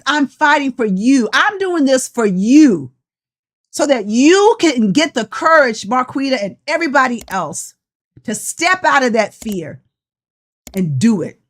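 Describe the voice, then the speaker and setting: A woman's voice: on the high side, fairly bright tone. A sixty-year-old woman coach, giving a passionate, tired, preachy live talk straight to her viewers. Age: 60s. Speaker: life coach. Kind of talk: motivational live-stream talk.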